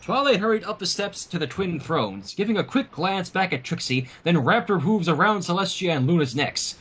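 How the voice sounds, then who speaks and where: A man's voice: high voice, expressive, moderate pace, a bit bright, husky. A man narrating a fan story as its reader, dropping in casual asides.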